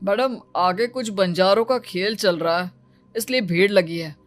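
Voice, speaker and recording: A woman voicing a deferential, obliging male chauffeur in an animated story, respectful and matter-of-fact. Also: medium pitch, measured pace, lightly acted. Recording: music behind the voice.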